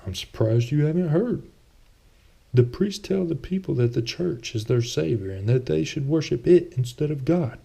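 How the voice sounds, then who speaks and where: Man putting on an earnest, higher-pitched wealthy older man. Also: expressive, vocal fry, mellow, husky, exaggerated voice. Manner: earnest, measured, persuasive.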